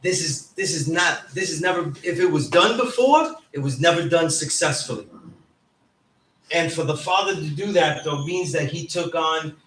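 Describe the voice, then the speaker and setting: A man's voice: on the high side, moderate pace, fairly bright tone. Echoey, emphatic New man teaching. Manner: emphatic, often checks in with "right?". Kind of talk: informal class lecture. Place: indoor classroom. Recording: echoey room, distant mic, background noise.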